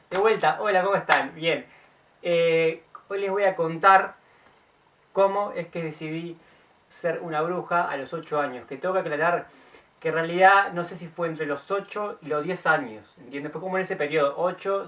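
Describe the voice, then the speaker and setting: A young man with a Uruguayan accent chats to his viewers in a slightly high voice, tripping over and restarting his words as he goes. The sound is a little distant, caught by a camera mic set back from him outdoors. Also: fairly bright tone, dull audio. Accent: Uruguayan Spanish. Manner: chatty, stop-start, self-correcting. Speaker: vlogger. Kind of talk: casual storytelling to camera. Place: outdoors in the late afternoon.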